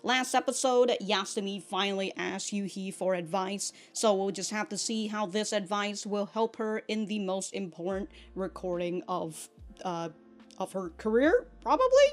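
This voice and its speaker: A woman's voice: medium pitch, lively intonation, moderate pace, faint music behind. A woman hosting a reaction video, commenting casually in slangy, informal language with frequent interjections.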